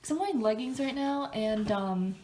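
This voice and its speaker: A woman's voice: fairly high pitch, expressive, moderate pace, darkish tone, a little hiss or hum. A young woman vlogging casually to her phone camera in a bathroom, rambling with lots of ums and likes, a bit stuffy and congested. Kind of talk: casual talk to camera while getting ready. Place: home bathroom.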